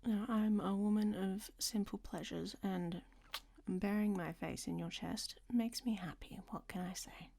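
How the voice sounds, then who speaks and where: A woman's voice: mid-range voice, steady tone, a little slow, vocal fry, mellow. A woman playing a worldly, flirtatious traveler in an audio roleplay, speaking to the listener in a teasing, often half-whispered way.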